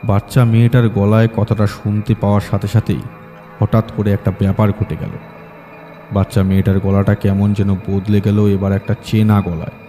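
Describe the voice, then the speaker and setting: A man's voice: fairly low pitch, little pitch movement, normal speed, warm tone, faint music behind. A man reading a scripted horror audiobook as its story narrator.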